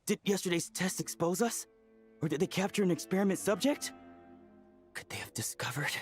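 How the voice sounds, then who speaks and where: Man as an anxious, high-voiced young researcher. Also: fairly quick, soft background music, lightly acted. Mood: anxious, urgent. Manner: agitated, questioning. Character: anxious, urgent young male researcher, a fretful accomplice. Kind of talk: video game voice acting.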